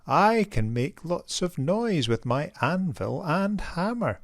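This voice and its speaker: Man putting on a bellowing storybook blacksmith, pitched high. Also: sing-song, slow pace, no room sound, clean studio sound, heavily acted. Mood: loud, confident. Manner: bellowing, emphatic. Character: loud, boastful male village blacksmith in a storybook.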